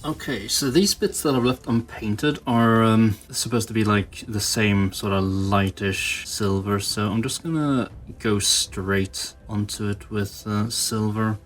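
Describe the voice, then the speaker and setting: A man's voice: on the low side, steady tone, a little slow, few pauses, soft background music. A man giving a casual hobby tutorial, thinking aloud informally as he works. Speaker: toy customizer presenting own channel.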